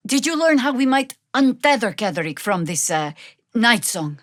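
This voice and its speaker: A woman voicing a weary, sardonic veteran druid warrior in a fantasy video game, speaking in a dry, measured way. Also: on the high side, sing-song, a bit bright, dry recording. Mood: wry, measured.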